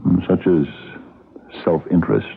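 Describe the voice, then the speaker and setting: An actor in a radio drama playing a middle-aged police captain, gravelly and halting as he patiently probes with questions. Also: deep voice, full character voice. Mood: patient, probing. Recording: muffled, band-limited old radio broadcast.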